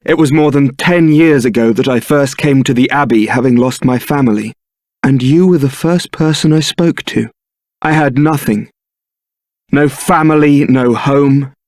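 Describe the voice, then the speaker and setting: A man's voice: medium pitch, unhurried, darkish tone, no room sound, natural voice. A man playing a suave, sardonic young templar knight and roguish ladies' man in a fantasy video game, with a dry, theatrical delivery. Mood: sorrowful.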